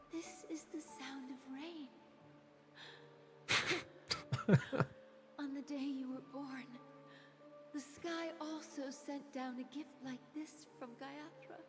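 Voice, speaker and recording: Give the game a tender young mother, high and slow, breathy as if praying. Her sorrow carries in a long reverb with music underneath. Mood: tearful tenderness. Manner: slow, breathy, prayer-like delivery.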